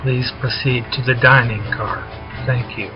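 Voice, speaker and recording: A man's voice: medium pitch, unhurried. A man, a publisher, announcing in mock-sinister tones like a menacing train conductor. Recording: dull sound with music.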